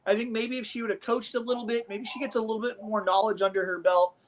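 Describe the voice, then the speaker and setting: A man's voice: high-pitched, flat delivery, quick pace, a loud crowd. A man from Nebraska commentating martial arts sparring in an enthusiastic, rambling, coach-like way. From Omaha, Nebraska. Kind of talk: casual sports commentary.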